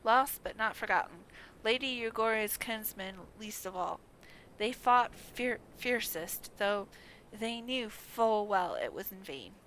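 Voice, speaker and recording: A grave clan father from a fantasy game voiced by a woman, formal, full of loss and gratitude. The voice is bright, the pace slow. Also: medium pitch, expressive, slight background noise, poor audio. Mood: solemn.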